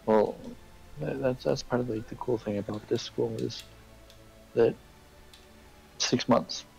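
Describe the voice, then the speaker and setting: A man's voice: mid-range voice, fairly even intonation, slow, creaky, a little mellow, slightly rough, faint music behind, rough recording. A young man in casual conversation on a stream, talking things through with friends. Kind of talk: casual chat.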